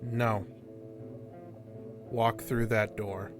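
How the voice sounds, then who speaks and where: A deep-voiced, implacable alien interrogator acted by a man speaks with clinical detachment, measuring out each statement without emotion. It is audio drama, with loud music running behind the voice. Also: little pitch movement, very slow. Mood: cold, accusing.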